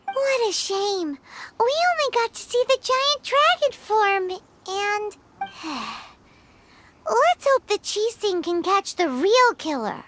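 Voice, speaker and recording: A woman's voice: very high-pitched, expressive, slow, fairly bright tone, a little hiss or hum, full character voice. A woman voicing a perky, cheeky, childlike little fairy companion in a video game. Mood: inquisitive. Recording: prominent music behind the voice.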